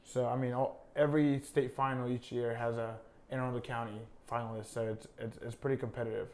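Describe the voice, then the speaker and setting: A young college soccer player from Honduras, a native Spanish speaker, talking casually in an interview with many fillers. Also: male voice, medium pitch, brisk. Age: young adult. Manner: relaxed, many fillers like "I mean" and "uh".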